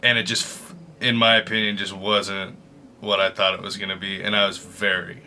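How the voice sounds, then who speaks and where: A man's voice: sits low, little pitch movement, moderate pace, sharp tone, slight background noise. A man in his twenties giving casual, opinionated reaction commentary in informal, slangy speech. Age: 20s. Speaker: host of a video reaction show. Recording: music behind the voice.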